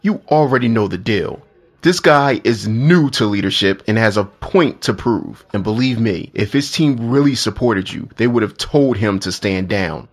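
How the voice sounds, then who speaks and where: A man playing a blunt, loyal army colonel with a husky voice in an audio drama. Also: on the low side, lively intonation, moderate pace.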